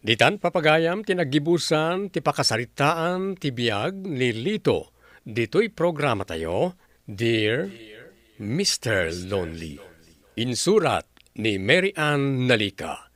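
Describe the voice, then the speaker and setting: A man presents a radio drama program as its host and announcer. His voice sits at a medium pitch, with scripted delivery and a dry, close sound. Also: sing-song, slow pace, fairly bright tone, no room sound. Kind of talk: scripted advice and credits.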